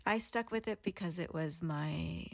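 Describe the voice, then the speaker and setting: A woman's voice: low voice, unhurried, gravelly, dry recording. A woman in her thirties, earnestly and reflectively telling her own story as a guest in an interview. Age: 30s.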